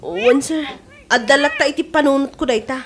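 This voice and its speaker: A woman's voice: sits high, brisk, slight background noise, close to an everyday voice. A woman acting a loyal, deferential campaign aide in an Ilocano radio comedy drama. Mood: obedient, earnest.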